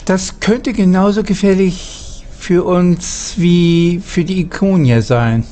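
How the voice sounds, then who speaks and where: Measured, slow-paced formal voice-over. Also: mid-range voice, warm tone. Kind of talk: scripted German voice-over dialogue.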